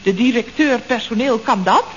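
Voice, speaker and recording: An actress playing a gossipy, flustered office secretary in a 1960s radio comedy, talking in a chatty, giggly, breathless way. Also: female voice, a little high, moderate pace, close to an everyday voice. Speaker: office secretary at a building firm. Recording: dull old radio recording, faint hiss.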